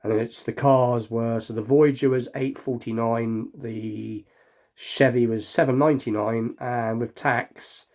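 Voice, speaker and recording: A man's voice: mid-range voice, fairly even intonation, a little slow, darkish tone. Slightly nasal man, casual vlogger. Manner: rambling, thinking aloud. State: slight cold. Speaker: hobby unboxing video host. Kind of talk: casual chatty unboxing commentary.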